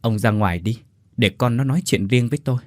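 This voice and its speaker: A man voicing a frightened middle-aged mother who cries and pleads in a shrill voice for her son. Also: fast, a little mellow, dry recording, studio quality, natural voice. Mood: worried.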